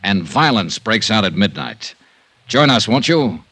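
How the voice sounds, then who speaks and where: An actor playing a wry, tough American insurance investigator in a 1950s radio drama, with dramatic, clipped hard-boiled delivery. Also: male voice, fairly low pitch, very animated, measured pace, sharp tone, no room sound, exaggerated voice. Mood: brisk, dramatic. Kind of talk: 1950s radio drama acting and first-person narration. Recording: vintage radio recording with music behind.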